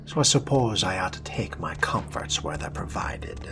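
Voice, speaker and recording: A man role-playing a haughty, weary vampire fledgling in a video game, muttering sardonic asides in a deliberate, often whispery way. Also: low voice, animated, vocal fry. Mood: weary, brooding. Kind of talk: in-character video game role-play commentary. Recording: music behind the voice.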